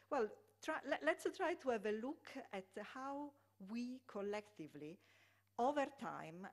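Italian woman lecturing with many fillers. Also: mid-range voice. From Italy. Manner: hesitant, many fillers and restarts. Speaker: public health lecturer. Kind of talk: conference lecture with slides.

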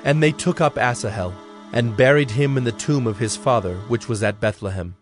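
A man's voice: mid-range voice, brisk. Solemn male scripture narrator over faint music. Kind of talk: scripted scripture narration, dramatized audio Bible.

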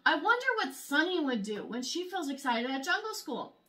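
High, very animated woman reading to kids. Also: normal speed. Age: young adult. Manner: expressive, exaggerated storytelling for young children. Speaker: teacher reading aloud to children. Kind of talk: animated children's picture book read-aloud. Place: small room at home, indoors.

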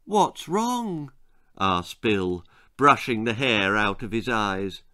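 A man's voice: lowish voice, slow, pushed and bright, dry recording, studio quality. A British man in his sixties reading a children's story aloud with dramatic narration. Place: small indoor room lined with bookshelves.

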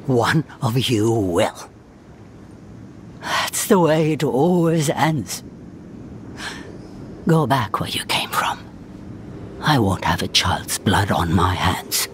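An actor playing a wry, embittered old swamp hermit in a fantasy game, ominous and given to breathy drops into whispers. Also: male voice, mid-range voice, big pitch swings, a little hiss or hum. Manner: slow, ominous, dropping into whispers. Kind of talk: dramatic fantasy game acting. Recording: loud game music behind the voice.

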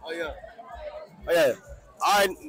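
A man's voice: a little high, a little slow. A young man doing a casual street interview at a crowded beach party, laid-back, repeating himself as he talks. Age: young adult. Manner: laid-back, repeats "all right, bet". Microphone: handheld mic. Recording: loud crowd and music behind.

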